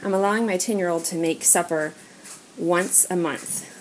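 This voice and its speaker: A woman's voice: fairly low pitch, normal speed. An American woman vlogger chatting informally as she talks through things she is filming on a handheld camera. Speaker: home vlogger. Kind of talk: casual haul voice-over while filming. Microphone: handheld camera or phone, filming items. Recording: noisy handheld amateur recording, clicks.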